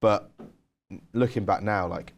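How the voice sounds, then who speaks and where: A man's voice: on the low side, measured pace. A young man co-hosting a podcast, telling candid stories in casual chat with lots of "like". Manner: candid, storytelling, uses "like" a lot. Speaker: podcast co-host.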